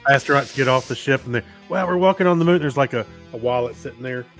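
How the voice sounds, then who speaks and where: A man's voice: medium pitch, quick pace, a bit bright, faint music behind. A middle-aged man co-hosting in casual chat, adding easygoing, jokey asides. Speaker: podcast co-host.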